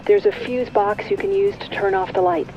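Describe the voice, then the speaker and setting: A woman playing a cool-headed vampire tech handler giving calm, instructive briefings in a video game. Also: mid-range voice, moderate pace, lo-fi sound, slight background noise, lightly acted. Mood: cautioning. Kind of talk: scripted game dialogue, mission briefing.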